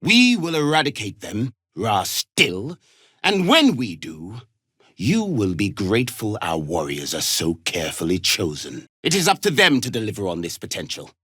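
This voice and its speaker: An actor playing a harsh, imperious alien warrior instructor in a video game, scornful and given to clipped commands. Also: male voice, on the low side, wildly swooping pitch, moderate pace, fairly bright tone, husky, no echo, studio quality, full character voice. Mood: cold, menacing. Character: harsh, contemptuous alien warrior instructor drilling young recruits. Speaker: combat trainer. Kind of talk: video game character acting.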